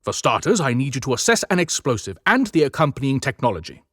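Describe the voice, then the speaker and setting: A man's voice: mid-range voice, big pitch swings, quick pace, a bit bright, dry recording, clean studio sound. A man voicing Santa Claus as a firm, frank, businesslike older man in a dramatized audiobook. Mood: confronting.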